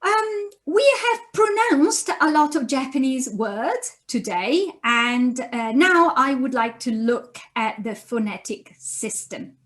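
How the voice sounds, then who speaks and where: A woman's voice: high voice, big pitch swings, slow, few pauses, fairly bright tone. A woman whose first language is Italian, with an Italian accent, giving a recorded beginner language lesson and patiently spelling out sounds for learners to repeat. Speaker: university Japanese lecturer.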